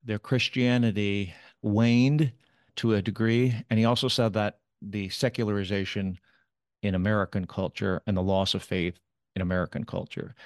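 A man answering interview questions about his late father, speaking in a measured, thoughtful way with occasional pauses. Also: fairly low pitch, flat delivery, no echo, studio quality. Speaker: interview guest, son of the subject.

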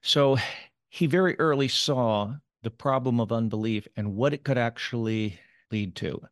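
A man answering interview questions about his late father, speaking in a measured, thoughtful way with occasional pauses. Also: medium pitch, dry recording, studio quality. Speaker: interview guest, son of the subject.

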